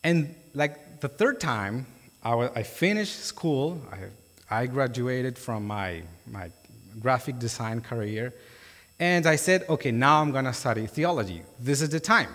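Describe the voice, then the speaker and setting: A man's voice: medium pitch, animated, a bit bright. Colombian man preaching in a reverberant hall. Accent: Colombian Spanish. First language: Spanish. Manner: informal, halting, many fillers and restarts. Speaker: guest preacher. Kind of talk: sermon testimony. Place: church sanctuary.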